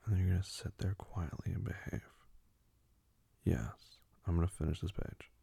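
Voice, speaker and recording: Man playing dominant teasing lover, dark mellow tone, very dry close sound, breathy whispery murmur, commanding, intimate ASMR roleplay. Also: fairly low pitch, expressive, no echo, exaggerated voice. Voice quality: breathy, husky, often dropping to a whisper. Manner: slow, murmured, commanding, with soft hums.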